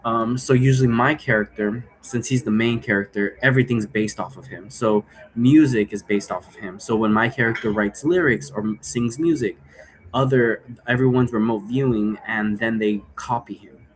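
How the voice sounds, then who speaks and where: A man's voice: medium pitch, normal speed, a little hiss or hum, poor audio. A man giving an informal, improvised explainer in a casual, digressive way, often posing rhetorical questions. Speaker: role-play game creator and presenter.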